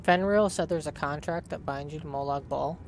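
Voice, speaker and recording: A man's voice: a little high, moderate pace, gravelly, a little hiss or hum. A man hosting a let's play, reading his character's dialogue aloud and narrating as he plays.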